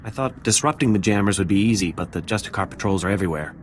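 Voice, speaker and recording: An actor playing a nervous, jumpy security officer in a video game, with an anxious, hesitant way of talking. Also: male voice, fairly low pitch, animated, fast, background noise, lightly acted. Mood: earnest, uneasy. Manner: hesitant, anxious, hushed urgency. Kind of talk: video game character acting.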